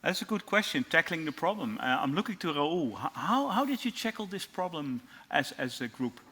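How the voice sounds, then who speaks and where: A middle-aged man with a Dutch accent, a lecturer moderating a student panel in a friendly, halting way with many fillers. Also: on the high side, big pitch swings, sharp tone, husky.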